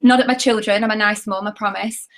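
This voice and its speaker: A British woman in her thirties with a hoarse, nearly lost voice, telling her story in a chatty, candid way. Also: mid-range voice, steady tone, quick pace. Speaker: network marketing seller sharing her story.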